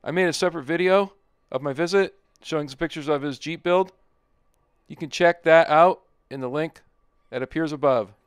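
A man's voice: on the high side, normal speed, clean tone, no echo. A middle-aged man narrating his off-road trip in a plain-spoken, easygoing voice-over. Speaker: overlanding vlogger.

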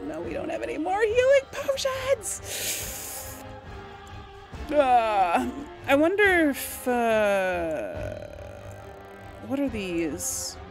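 A woman giving casual, expressive gameplay commentary, reacting aloud and talking to the game's characters. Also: a little high, extremely slow, creaky, pauses often. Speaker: gamer narrating a role-play let's play. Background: game music behind the voice.

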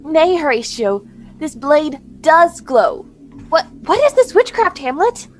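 A woman's voice: high-pitched, sing-song, slow, a little hiss or hum, exaggerated voice. A woman playing a giddy, whimsical, flower-loving young noblewoman with playful archaic phrasing in an audio drama. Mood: puzzled.

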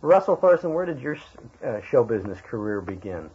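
An American man working as an interviewer, asking questions conversationally and haltingly as he searches for words. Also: mid-range voice, big pitch swings, darkish tone, a little hiss or hum. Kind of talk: conversational radio history interview. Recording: dull, lo-fi interview tape.

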